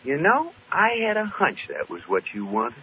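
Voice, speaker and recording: An American actor playing an affable, teasing husband in an old-time radio sitcom. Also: male voice, medium pitch, huge pitch swings, normal speed. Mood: dry teasing. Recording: noisy, dull old radio recording.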